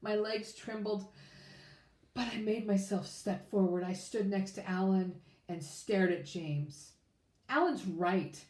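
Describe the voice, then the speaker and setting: A woman reading a children's storybook aloud in an expressive, informal way. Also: mid-range voice, unhurried. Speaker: children's book reader. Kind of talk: read-aloud storybook narration. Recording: echoey room.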